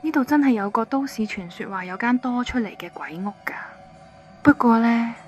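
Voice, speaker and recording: Female park worker, middle-aged, acted by a woman: whispered, secretive ghost-legend warning over soft music. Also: on the high side, expressive, normal speed, lightly acted. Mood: eerie warning. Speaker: theme park staff member. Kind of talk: Cantonese ghost-story dramatization.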